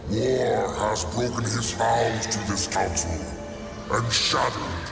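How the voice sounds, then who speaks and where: A man's voice: on the low side, takes it slowly, gravelly. A man playing an ancient, stern otherworldly judge in a video game, grandiose, commanding and solemn in delivery. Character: ancient, stern, ominous male otherworldly judge of a ruling council. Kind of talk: solemn, theatrical video game cutscene acting. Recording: cavernous long reverb, loud music behind.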